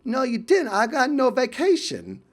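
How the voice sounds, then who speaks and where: A man's voice: very high voice, wildly swooping pitch, moderate pace, voice pushed up, heavily acted. A man voicing a bubbly, stubborn woman, insistent and exasperated. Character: bubbly, stubborn English woman friend, indignant.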